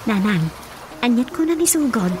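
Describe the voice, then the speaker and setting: An earnest, outspoken grown daughter in a radio comedy drama acted out by a woman. Her voice is very high, with an Ilocano accent and a direct manner, over clearly audible music. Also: lively intonation, normal speed, lightly acted, low-quality recording. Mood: earnest concern.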